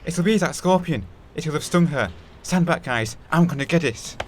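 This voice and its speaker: Man playing a blunt, animated suspicious friend. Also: a little high, normal speed. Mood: urgent. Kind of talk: audio play acting.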